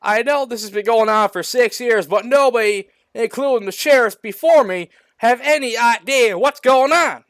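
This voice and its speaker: A man's voice: mid-range voice, animated, moderate pace, few pauses, a bit bright, no echo, pitched below the performer's own voice, natural voice. A man voicing a frustrated small-town hillbilly sheriff, ranting emphatically as he reads out a comic story. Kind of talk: hammy reading of a comic story.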